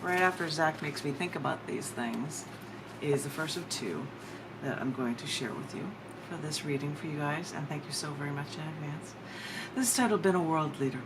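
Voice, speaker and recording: A woman with an American accent giving a live poetry reading, with theatrical, drawn-out emphasis on her words. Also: low-pitched. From United States. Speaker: poet. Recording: clear background noise.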